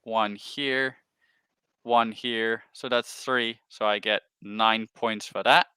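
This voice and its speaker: A man's voice: fairly low pitch, fairly even intonation, slow pace, pushed and bright, smooth, no room sound. A man chatting casually as he plays a board game. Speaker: game player. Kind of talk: casual chat while playing.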